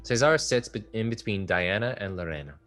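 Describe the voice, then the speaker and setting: A man reads the stage directions of a play in a steady, measured way. His voice is very low, and faint music plays underneath. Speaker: narrator reading stage directions. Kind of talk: play table read narration.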